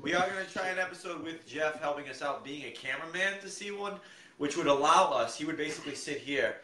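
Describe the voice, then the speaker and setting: A man's voice: on the high side, fast, bright, forceful tone. A man in his thirties bantering casually and swearing freely as a podcast co-host. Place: small living room, on a couch. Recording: echoey living room with chatter.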